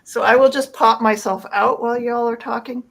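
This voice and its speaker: A woman's voice: a little high, fairly even intonation, normal speed, gravelly, a bit bright. A woman hosting an online discussion in an informal, rambling way. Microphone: video call microphone.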